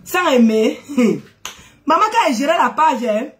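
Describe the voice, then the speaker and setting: A woman's voice: high voice, expressive, normal speed, fairly bright tone. A woman with a head cold, chatting casually on a live stream, greeting viewers, teasing and exclaiming with frequent laughs.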